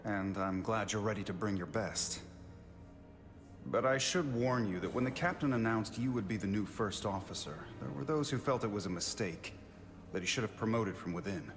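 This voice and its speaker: A man playing an earnest, weary starship officer in a video game, speaking in a measured, sincere, formal way. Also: on the low side, lightly acted. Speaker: Starfleet officer character in a game. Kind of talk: cinematic video game dialogue. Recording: game audio with prominent music underneath.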